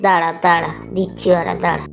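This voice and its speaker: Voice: mid-range voice, little pitch movement, quick pace, vocal fry, soft background music, lightly acted. An actor voicing a foul-mouthed, lazy man in a comedy cartoon, whining and blustering in exasperation. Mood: irritated, mocking. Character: foul-mouthed lazy man griping about summer heat. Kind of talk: Bengali comedy cartoon voice acting.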